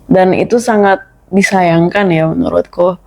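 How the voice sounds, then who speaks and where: A young woman in a casual podcast chat as a guest who teaches her language, speaking thoughtfully and pausing to pick her words. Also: lowish voice, steady tone, vocal fry, darkish tone. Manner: thoughtful, measured, with frequent pauses and restarts.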